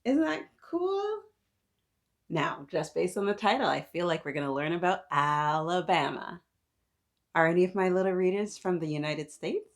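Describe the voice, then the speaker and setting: A woman hosting storytime, chatting interactively with young children in an encouraging, playful manner. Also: on the low side.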